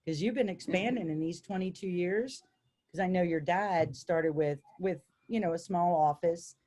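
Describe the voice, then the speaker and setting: Casual American woman with a lowish voice. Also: moderate pace, dark tone. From United States. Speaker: co-host. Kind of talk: casual chat.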